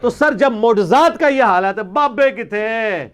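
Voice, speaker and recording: A man's voice: extremely high pitch, lively intonation, unhurried, a bit bright, smooth. A man with a Pakistani Punjabi accent answering religious questions in an informal lecture, arguing emphatically with rhetorical questions and slipping into Punjabi.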